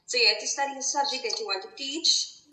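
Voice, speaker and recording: A woman's voice: high-pitched, fast, pushed and bright, roomy sound. A young woman, a university student, explaining her studies casually with fillers. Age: young adult.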